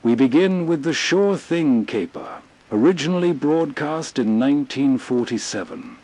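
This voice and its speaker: A man's voice: fairly high pitch, expressive, moderate pace, dark tone, poor audio. A man reading a soothing scripted introduction as the host. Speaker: host, narrator. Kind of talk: slow, soothing scripted narration.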